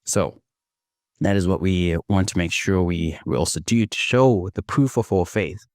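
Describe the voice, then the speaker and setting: A man recovering from a cold, his voice slightly hoarse, leading a devotional reflection in an unhurried, gentle way with frequent self-corrections. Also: low-pitched, no echo, studio quality. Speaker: devotional host.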